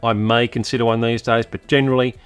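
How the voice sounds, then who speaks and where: A man's voice: mid-range voice, quick pace, smooth, faint music behind. A middle-aged Australian man hosting a hiking vlog, talking casually to camera from his home study and correcting himself as he chats. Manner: chatty, self-correcting, relaxed. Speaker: hiking channel host. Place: home study lined with bookshelves.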